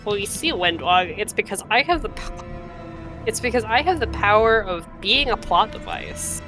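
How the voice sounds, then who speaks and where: A woman's voice: mid-range voice, lively intonation, normal speed. Woman let's player over game music. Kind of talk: reading game narration aloud and joking along.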